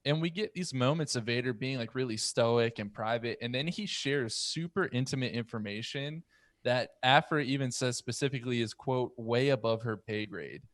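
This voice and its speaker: A man's voice: medium pitch, normal speed, fairly bright tone, no room sound. Thoughtful man hosting, dry close sound. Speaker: podcast host. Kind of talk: casual discussion moderation. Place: home room on a group video call. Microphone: close podcast mic on a boom arm.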